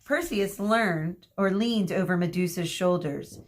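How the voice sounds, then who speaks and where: A woman narrating a children's story aloud like a teacher, expressive, dramatic and deliberate. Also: fairly low pitch. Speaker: teacher reading aloud to students.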